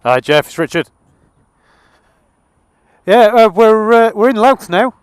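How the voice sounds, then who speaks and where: A man's voice: sits high, animated, takes it slowly, smooth, no echo. A man with an English accent, chatting casually and jokily over a motorbike intercom, rambling a little with dry humour. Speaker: motorcycle vlogger. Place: outdoors, largely riding a motorbike. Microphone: helmet intercom microphone. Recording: loud wind and engine noise. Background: wind, motorbike engine and road noise.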